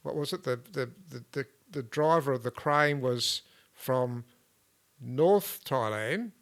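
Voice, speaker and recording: Smooth-voiced man, easygoing ramble. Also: mid-range voice, slow. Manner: relaxed, rambling, with many fillers and restarts. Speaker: vlog host. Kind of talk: casual chat.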